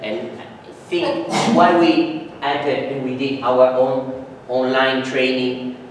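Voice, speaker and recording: A man's voice: medium pitch, steady tone, slow, slight background noise. A man in his thirties giving an academic lecture in a seminar room, a non-native speaker who hesitates often, with many ums and restarts. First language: Italian. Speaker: guest lecturer. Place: university seminar room in front of a projector screen. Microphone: far room camera, no mic seen. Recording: echoey room from far off.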